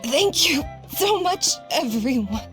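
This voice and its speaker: Young surfer, minor game role: earnest, polite, lifted pitch, very lively intonation. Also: female voice, slow pace, heavily acted. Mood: grateful. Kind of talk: video game character acting. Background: game music.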